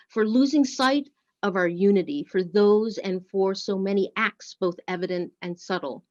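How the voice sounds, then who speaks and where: A woman giving a solemn, deliberate scripted reading of poetry and litany as a worship service speaker. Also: medium pitch, darkish tone, lo-fi sound. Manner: measured, deliberate phrasing.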